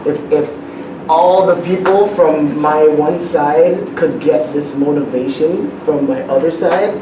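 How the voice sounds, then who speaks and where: A man's voice: fairly high pitch, fairly even intonation, slow pace. A man co-hosting a casual interview, asking long, rambling, loosely built questions. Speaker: co-host. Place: studio. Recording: roomy, distant mic, noise.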